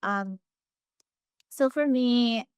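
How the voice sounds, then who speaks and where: A young adult woman from Vietnam speaking earnestly on a panel, with many ums and pauses. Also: fairly high pitch, clean tone, no room sound. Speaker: assistive technology manager, panelist. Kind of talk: panel talk.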